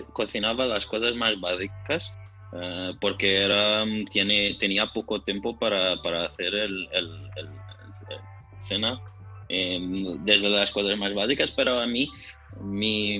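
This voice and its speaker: Male podcast guest, Portuguese accent and native speaker, lowish bright voice, halting casual delivery. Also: lo-fi sound. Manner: halting, searches for words, repeats phrases. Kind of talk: casual conversation.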